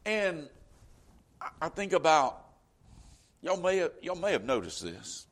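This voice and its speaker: A man's voice: on the high side, sing-song, a little slow, a bit bright. A middle-aged man from Florida with a Southern accent, preaching a sermon in a conversational way, often saying "you know.". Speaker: pastor.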